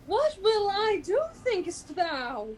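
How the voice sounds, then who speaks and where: A woman playing a foolish, lovesick Venetian gentleman in a Shakespeare radio play, plaintive and melodramatic. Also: very high-pitched, big pitch swings, slow pace, slight background noise, exaggerated voice. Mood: despairing.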